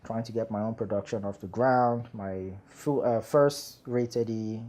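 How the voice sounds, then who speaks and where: A man, a screenwriter guest chatting in an interview, relaxed and rambling with many fillers. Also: on the low side, unhurried. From Nigeria. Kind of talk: casual interview chat.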